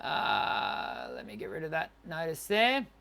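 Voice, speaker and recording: A man's voice: on the high side, huge pitch swings, a little slow, creaky, pushed and bright. A middle-aged man with a tenor voice, a singing teacher answering viewer questions on a live stream, encouraging, with many fillers and restarts.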